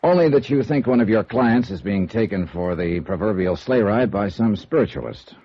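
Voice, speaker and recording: A man's voice: lowish voice, lively intonation, normal speed, breathy edge. A man playing a wry, breezy freelance insurance investigator in 1950s radio noir style, wisecracking as he narrates his cases. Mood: businesslike. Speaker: lead actor, narrator. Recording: muffled old radio recording, faint music.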